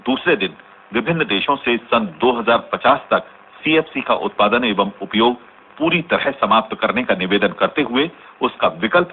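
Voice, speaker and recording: An actor playing a sober radio news announcer, reading formally and in a measured way within a radio drama. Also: male voice, medium pitch, lightly acted. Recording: tinny band-limited radio broadcast, faint noise.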